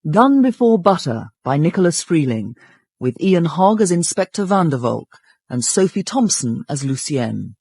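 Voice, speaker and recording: Creaky, lively male radio announcer. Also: on the high side, normal speed, no echo. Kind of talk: radio drama title announcement.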